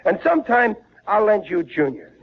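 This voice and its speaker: An actor playing a bumbling, excitable American working-class father in 1940s radio comedy, stammering and repeating his words. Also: sits high, moderate pace. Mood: coaxing. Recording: muffled, noisy vintage radio broadcast.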